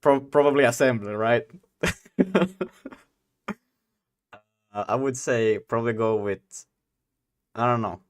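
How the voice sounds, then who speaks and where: Young guy rambling to his stream chat, laughs a lot, mid-pitched voice. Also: slow pace, pauses often. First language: Swedish. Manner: giggly, rambling, talks to chat. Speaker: game dev streamer. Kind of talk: casual live-stream chat.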